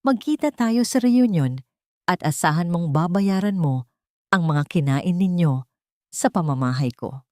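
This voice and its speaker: A cold, haughty rich matriarch, acted out by a woman storyteller in an audio drama story. The voice is fairly low and close, with a dry sound. She is condescending and threatening, full of contempt for those beneath her. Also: expressive, unhurried, darkish tone, no room sound.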